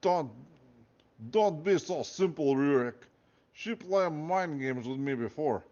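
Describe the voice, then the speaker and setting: A man's voice: a little high, animated, slow pace, warm tone, highs cut off, voice pushed up. A man voicing a pompous male Russian prison guard with a heavy put-on Russian accent and broken English. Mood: indignant. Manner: broken English, boastful, theatrical.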